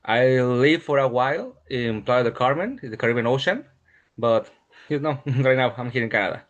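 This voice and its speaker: A man's voice: mid-range voice, animated, normal speed, lo-fi sound. Mexican man in his thirties. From Mexico City and State of Mexico, Mexico. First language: Spanish. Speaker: interview guest. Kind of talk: casual interview answers.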